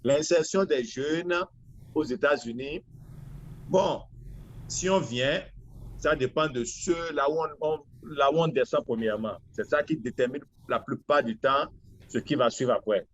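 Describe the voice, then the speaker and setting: A man in his forties from Benin with a Beninese accent, answering interview questions in a halting, deliberate way. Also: medium pitch, muffled recording, slight background noise. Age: 40s. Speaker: interview guest, lawyer.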